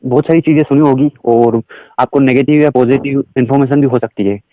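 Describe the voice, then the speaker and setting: A man with an Indian accent giving an explanatory sales pitch over a recorded phone call, asking questions and mixing in English phrases. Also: fairly quick, no room sound. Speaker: direct selling recruiter.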